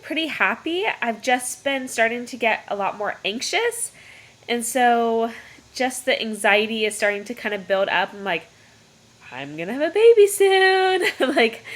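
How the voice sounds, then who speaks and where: A young woman with a cold talks from her bedroom into a laptop's built-in mic, her voice nasal and stuffed up. Her tone is bright and a little sharp, and she rambles cheerfully, laughing between thoughts. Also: a little high. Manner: chatty, rambling, laughs often. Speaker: vlogger. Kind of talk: casual pregnancy update vlog. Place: bedroom, indoors. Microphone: built-in computer mic. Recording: lo-fi laptop recording.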